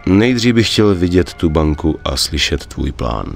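A level-headed, wary gangster in a video game drama. His voice is very low, and he talks in a dry, blunt way, tough and given to swearing. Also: sing-song, gravelly, soft background music, full character voice. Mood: resolved. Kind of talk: dubbed video game cutscene acting.

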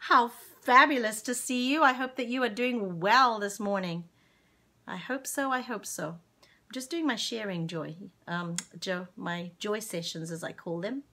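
Slow, bright-voiced cheerful woman host. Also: sits high. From Zimbabwe. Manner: slow, cheerful, affectionate, talks to viewers by name. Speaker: children's singalong host. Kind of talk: casual live-stream chat and singing. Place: home room with plants and curtains. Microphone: webcam at desk distance.

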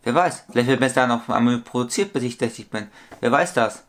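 A man's voice: mid-range voice, fast talker. Rambling man in his thirties, home vlog. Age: 30s. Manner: rambling, repetitive, corrects his own sums aloud. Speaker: toy collector vlogger. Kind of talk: casual vlog monologue. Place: small room at home with shelves.

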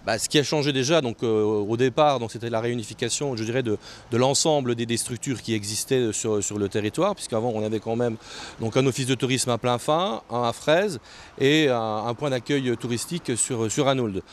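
An older man, a local official answering interview questions in a halting way, often repeating words. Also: medium pitch. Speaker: local mayor and tourism official. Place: outdoors on a terrace above a town street. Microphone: handheld interview microphone held close. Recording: clear outdoor street noise.

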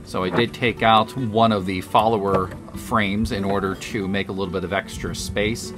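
A man with a fairly low voice narrates in a relaxed, matter-of-fact way, explaining each step as he goes. His voice-over sits over clearly audible background music. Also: normal speed. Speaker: beekeeper and channel host. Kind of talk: voice-over narration of a hive install.

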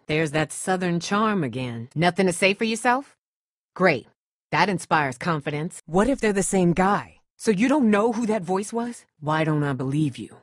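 Tough distrustful female survivor, fairly low pitch, protective, guarded, sardonic, naturalistic video game drama, dry sound. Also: no room sound. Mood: suspicious, sharp.

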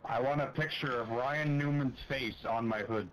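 Male co-caster, playful teaser, average pitch, faint noise floor. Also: normal speed, a crowd in the background, rough recording. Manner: teasing, joking.

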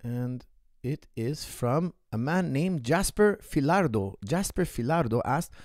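A man's voice: medium pitch, expressive, unhurried, a little mellow, no room sound. A man in his thirties, a martial arts coach, talking energetically on a podcast Q&A, repeating phrases for emphasis into a close studio mic in a small room. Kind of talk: casual podcast Q&A. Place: small indoor room, seated. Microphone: studio mic on boom arm, close.

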